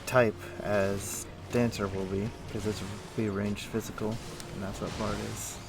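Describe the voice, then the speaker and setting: Low-voiced man, gravelly, chatting while gaming. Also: flat delivery. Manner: rambling, halting, thinking aloud. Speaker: game livestreamer. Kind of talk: casual chat while playing an online game. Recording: music playing behind. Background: music.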